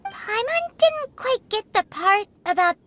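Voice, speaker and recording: Squeaky little fairy sidekick. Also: female voice, big pitch swings, unhurried, a bit bright, slight background noise, heavily acted. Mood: concerned. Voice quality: squeaky, childlike. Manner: refers to herself in third person. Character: tiny childlike female fairy companion, earnest and fretful. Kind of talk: cute video game voice acting.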